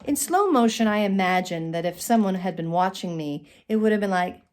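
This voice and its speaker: A middle-aged woman telling a personal comic story to camera in a theatrical, expressive way with dramatic pauses. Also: medium pitch. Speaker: storyteller, channel host. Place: indoors, small curtained room. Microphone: phone at arm's length.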